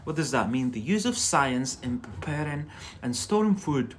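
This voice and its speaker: An English teacher hosting a casual live lesson, speaking haltingly and repeating words as drills while chatting with viewers. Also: mid-range voice, sing-song, a little hiss or hum. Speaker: English teacher, livestream host.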